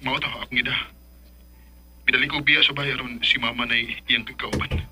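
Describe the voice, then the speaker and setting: Radio drama: reassuring, assertive Cebuano man, medium pitch, extremely crisp, forceful edge. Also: little pitch movement, brisk, soft background music. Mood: matter-of-fact. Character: male family acquaintance, reassuring and assertive. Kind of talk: Philippine radio drama acting.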